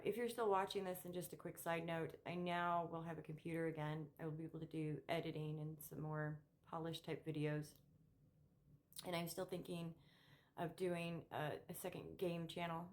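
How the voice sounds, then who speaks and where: Low-voiced, emphatic woman vlogger. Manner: emphatic, opinionated, halting with repeated words. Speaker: political commentary vlogger. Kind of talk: unscripted opinion monologue to camera.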